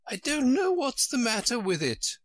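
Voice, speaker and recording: Man putting on a flustered old queen, high fretful wail, storybook, bright dry voice. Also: big pitch swings, slow, no echo, exaggerated voice.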